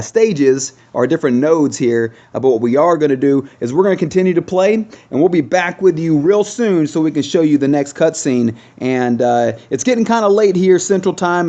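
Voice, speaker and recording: A man's voice: fairly high pitch, dark tone. An American man giving casual, chatty game walkthrough commentary to his viewers, often repeating himself as he explains. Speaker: gaming video host. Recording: dull lo-fi sound with music behind.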